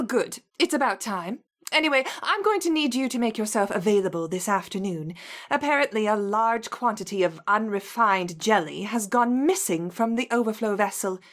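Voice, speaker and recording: An actress playing a stern, suspicious laboratory colleague in a video game, clipped, dry and disapproving. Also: female voice, on the high side, close to an everyday voice. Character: suspicious, stern female lab colleague in charge. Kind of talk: video game character acting.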